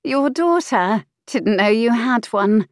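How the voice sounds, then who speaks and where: A woman voicing an impudent young mistress in an audiobook, with a high, airy, pert voice. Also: expressive, slow pace, no room sound, clean studio sound. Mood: curious. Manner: pert, teasing.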